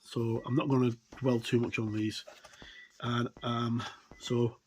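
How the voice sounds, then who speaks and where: A man giving a casual, unscripted review as he leafs through a product, talking in halting, rambling short phrases. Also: mid-range voice, level tone, darkish tone, poor audio. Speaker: tabletop game reviewer. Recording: clear background noise with clicks.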